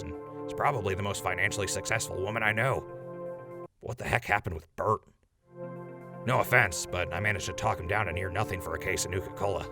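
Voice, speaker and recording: A chatty, nervy radio host of the wasteland, acted by a man, wisecracking his way through a radio drama. The voice sits low with a heavy gravelly fry, over a bed of music. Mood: casual, amused. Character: chatty wisecracking male post-apocalyptic radio host, nervy but loyal. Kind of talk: radio drama, in-character sponsor reads and banter.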